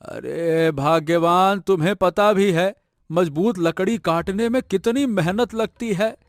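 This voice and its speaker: A man's voice: high-pitched, measured pace, no echo, studio quality. A man playing a poor, exasperated village woodcutter husband in a cartoon story, grumbling and whining. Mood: exasperated, fed up. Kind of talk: Hindi cartoon moral story voice acting.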